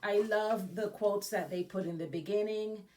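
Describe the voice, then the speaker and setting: A woman's voice: medium pitch, moderate pace, dark tone. A woman from Jamaica, an education nonprofit director, reading aloud for a children's story time with informal asides.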